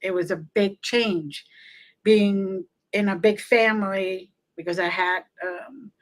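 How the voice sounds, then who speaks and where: A woman's voice: medium pitch, steady tone, takes it slowly. A woman, a medium, telling her story frankly and unhurriedly as a guest on an online talk show. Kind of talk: casual interview talk.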